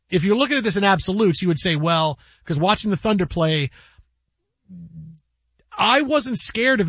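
A man hosting a sports radio show, talking casually and rambling with quick asides. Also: high-pitched, a bit bright, no room sound. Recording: dull lo-fi broadcast with faint music.

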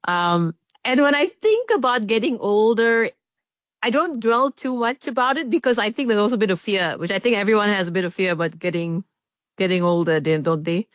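A woman's voice: mid-range voice, expressive, a little fast, no room sound, clean studio sound. A woman of thirty-three in a casual chat, speaking in a thoughtful, earnest way with light laughter. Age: 30s. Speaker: head of news.

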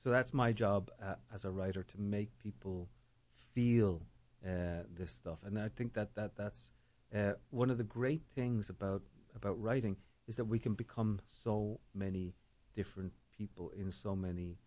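A middle-aged man talks about his writing with a lowish voice. He is reflective and searching, often stalling and repeating a word before the sentence comes. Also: normal speed, a little mellow. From Ireland. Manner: thoughtful, repeats words while searching for them. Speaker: novelist guest. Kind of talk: reflective interview talk.

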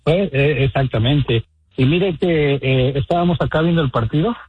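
A Mexican man phoning in to a sports show, his slightly high voice husky and rambling with lots of halts and restarts. The line sounds muffled and dull. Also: no room sound. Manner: rambling, halting, laughs often. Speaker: caller. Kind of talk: chatty phone-in about football.

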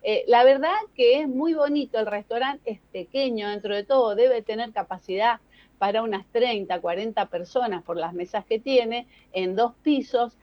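High-voiced Argentine woman columnist, dull sound. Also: moderate pace. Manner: explanatory, addresses listeners directly. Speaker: radio or TV news columnist. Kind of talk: conversational news commentary.

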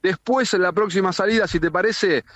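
Argentine sports reporter guy, high voice, roomy muffled remote feed. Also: a little fast, fairly bright tone. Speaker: sports correspondent. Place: remote live report from outside a team base. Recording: roomy, dull remote link.

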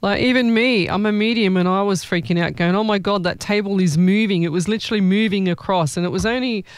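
A man, a psychic medium hosting a live stream, chatting in a rambling, excitable way. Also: high-pitched, fast talker, clean tone, no room sound. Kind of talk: live-stream reading chat.

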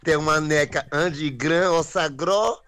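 Male, slightly high-pitched, slow and drawn-out, repetitive musing in comic banter. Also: a bit bright, a little hiss or hum. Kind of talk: joking slang chat.

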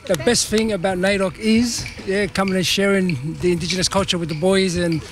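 A man's voice: high-pitched, steady tone, a little fast, noisy background. A man born in Tonga and raised in Australia talks earnestly about his family. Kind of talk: casual interview.

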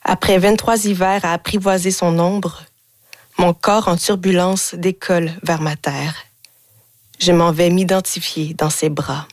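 A woman in her twenties, born in Haiti, reciting her own spoken-word poetry in a measured, deliberate way in a radio studio. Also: lowish voice, steady tone, darkish tone, no room sound. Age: 20s. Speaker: guest poet.

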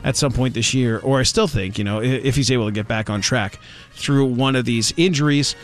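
A man's voice: medium pitch, fairly quick. Sports radio host type, man, sarcastic and rambling, faint music underneath. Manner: rambling, sarcastic, many fillers. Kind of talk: casual sports talk radio.

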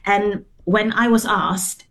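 A woman's voice: medium pitch, steady tone, takes it slowly, gravelly, fairly bright tone. A middle-aged woman from Singapore, a guest telling her story earnestly over a video call, with fillers and restarts. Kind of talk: remote interview.

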